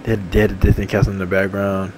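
A young man in his twenties rambles cheerfully, repeating himself as he hands out shout-outs. His voice sits on the low side, and soft game menu music plays underneath. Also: monotone, racing, rough recording. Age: 20s. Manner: repetitive, upbeat, enthusiastic shout-outs. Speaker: gaming channel host. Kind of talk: rambling casual monologue over game menu. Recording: faint game music behind. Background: faint game menu music.

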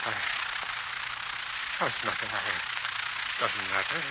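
A man's voice: on the low side, measured pace, close to an everyday voice. An actor playing a nervous, haunted man who stammers and breaks into panic in an old radio play. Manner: jittery, stammering, rising to panic. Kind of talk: 1940s radio acting. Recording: dull, very hissy old radio recording.

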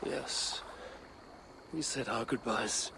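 An actor playing a grave, weary middle-aged Viking leader in a game, speaking in a solemn, measured, gravelly way. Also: male voice, medium pitch. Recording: game cutscene with noisy waterside ambience.

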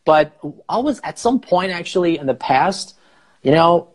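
A man in his thirties, a native Farsi speaker and English teacher, explaining slowly on a live video call through an earphone mic in a small room. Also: fairly high pitch, expressive. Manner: explains slowly, rephrasing with many fillers. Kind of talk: casual live video chat. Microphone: earphone headset mic on a video call.

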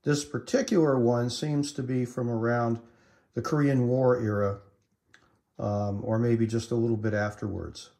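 Middle-aged male collector for an informal demo video, halting and matter-of-fact delivery, recorded indoors. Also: mid-range voice, steady tone, mellow. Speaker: collector demonstrating vintage equipment. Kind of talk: informal demonstration narration. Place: indoors in a room at home.

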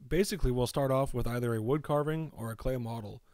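A man's voice: medium pitch, fast talker, a little mellow, a little hiss or hum. A young man who makes fishing lures explains mold making step by step in a voice-over, often saying "actually". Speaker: lure maker. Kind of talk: demonstration voice-over.

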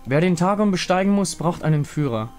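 Man voicing a solemn moon warrior woman, higher-pitched. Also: animated, a little mellow. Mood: grave. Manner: grave, formal, measured. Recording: music behind the voice.

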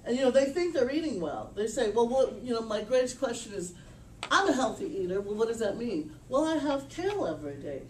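A middle-aged woman giving an informal, animated sales pitch at a business meetup, emphatic and full of restarts, caught by a camera across a small room. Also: a little fast, slight background noise. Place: small meeting room, indoors. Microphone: far camera. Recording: echoey room from far off.

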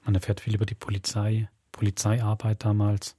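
A man's voice: on the low side, completely flat delivery, fairly quick, mellow, slightly rough, no echo. A man hosting a podcast, explaining things in a casual, conversational way. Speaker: podcast host. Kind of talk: casual explanatory chat about a radio play.

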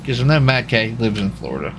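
A man's voice: medium pitch, big pitch swings, fast talker. Folksy man over vehicle noise. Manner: folksy, rambling, repeats himself. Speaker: live streamer playing a role-play game. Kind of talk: casual chat with viewers and players. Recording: clear background noise, vehicle sounds.

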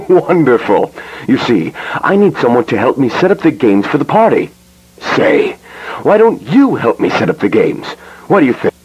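A man's voice: medium pitch, sing-song, normal speed, a little mellow, husky, dull audio. A man playing a showy, gleeful, sinister animatronic party showman in a horror cartoon, with theatrical barker delivery. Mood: jolly, welcoming.

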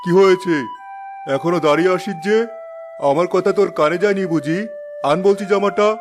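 A man plays a tyrannical cartoon father with a high voice. He is harsh, accusing and domineering, scolding his family over faint music. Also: a little fast, lightly acted. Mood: angry, scolding. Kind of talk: cartoon dub acting.

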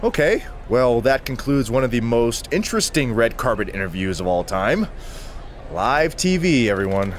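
A man's voice: mid-range voice, very animated, normal speed, slight background noise, close to an everyday voice. An actor voicing a polished male red-carpet reporter in a video game. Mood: broadcast. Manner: smooth broadcaster delivery.

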